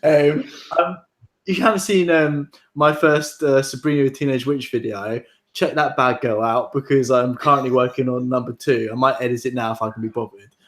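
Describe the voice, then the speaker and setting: A man's voice: mid-range voice, steady tone, normal speed. Man, casual host, rambling, frequent laughter. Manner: rambling, laughs a lot, many fillers. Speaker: podcast host. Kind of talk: casual chat.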